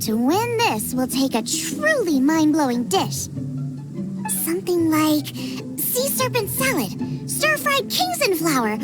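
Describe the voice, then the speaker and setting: Bubbly high-voiced teenage girl chef. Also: very animated, slow, music in the background, heavily acted. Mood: confident, musing. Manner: bubbly, emphatic. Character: eager, upbeat teenage girl chef, adventurous about food. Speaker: video game character. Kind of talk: animated game voice acting.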